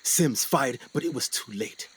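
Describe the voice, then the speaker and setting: A man acting as a haunted explorer doctor in a radio play, speaking intensely and theatrically with suspenseful pauses. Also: mid-range voice, expressive, a bit bright, a little hiss or hum. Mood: grim, anguished. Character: haunted 1920s male explorer doctor recounting jungle horrors.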